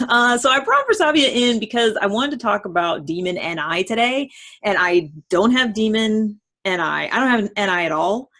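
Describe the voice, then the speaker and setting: A woman's voice: medium pitch, lively intonation, normal speed, a bit bright. A woman hosting a casual, chatty interview, asking questions in an informal, tentative way with frequent hedges. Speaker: host interviewing a guest.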